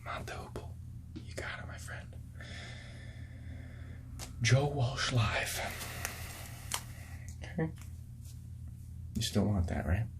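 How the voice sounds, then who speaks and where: A man plays a laid-back hippie shop clerk in an ASMR role-play. He speaks very slowly at a middling pitch, slipping between soft speech and whispers. His patter is casual and friendly, and he often repeats his phrases. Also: animated, gravelly. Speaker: ASMR role-play host acting as a record store clerk.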